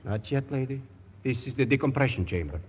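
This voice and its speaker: A man's voice: mid-range voice, lively intonation, a little fast, darkish tone, close to an everyday voice. An actor playing a matter-of-fact male tunnel worker in a 1940s radio drama. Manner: matter-of-fact, plain-spoken. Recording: noisy, dull old radio broadcast with reverb.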